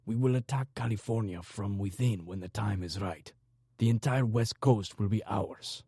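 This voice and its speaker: Man voicing a husky, confident cartel boss. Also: on the low side, moderate pace, dark tone, no room sound, clean studio sound. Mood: scheming. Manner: grandiose, self-assured. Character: confident scheming male Mexican cartel commander. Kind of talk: audiobook character dialogue.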